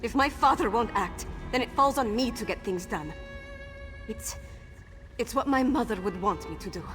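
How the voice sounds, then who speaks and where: A woman's voice: a little high, animated, normal speed, fairly bright tone. Fierce young noblewoman, long reverb, music behind. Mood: determined. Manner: impassioned, earnest. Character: fierce, determined young noblewoman rebel in a fantasy game. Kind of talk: dramatic scripted video game dialogue.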